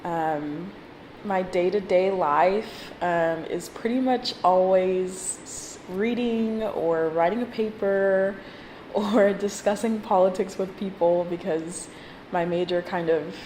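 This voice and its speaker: Slow-spoken young woman in a roomy space. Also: fairly low pitch, darkish tone. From born in Zimbabwe, grew up in Texas from age four. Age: 20s. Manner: hesitant, pausing mid-phrase, casual. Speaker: graduate student interviewed in a documentary. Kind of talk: personal interview, reflective talk. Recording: roomy echo, faint background noise.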